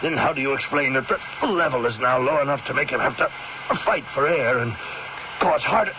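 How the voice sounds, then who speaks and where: An actor playing a gruff, suspicious family doctor with hesitant uhs and a stern edge, in a seventies radio drama. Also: male voice, mid-range voice, lively intonation, moderate pace, lightly acted. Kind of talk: 1970s radio drama acting. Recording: noisy, muffled vintage radio recording.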